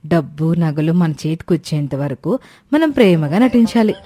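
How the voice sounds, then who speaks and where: A woman's voice: sits low, animated, normal speed, mellow. Woman playing a greedy, gushing young daughter-in-law. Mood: greedy surprise. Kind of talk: Telugu moral cartoon story voice acting.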